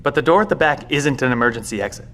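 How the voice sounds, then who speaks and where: Audio drama: weary, secretive male professor, played by a man, mid-range voice, measured explainer. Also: medium pitch, expressive, a bit bright, close to an everyday voice. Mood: grave, explanatory. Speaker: professor, secret bunker guardian.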